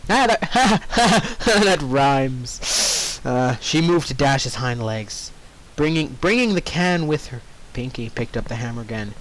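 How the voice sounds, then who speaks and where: A man's voice: mid-range voice, animated, slow, a bit bright, low-quality recording. A man reading fan fiction aloud with informal, jokey asides, easily sidetracked from the narration. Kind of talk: story read-aloud with casual ad-libbed commentary.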